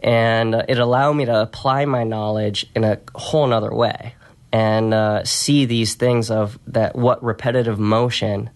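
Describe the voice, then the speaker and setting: Male coach as interview guest: lowish pitch, quiet delivery, reflective and conversational. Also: steady tone, measured pace. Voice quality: quiet, soft-spoken. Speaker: podcast guest, strength and conditioning coach.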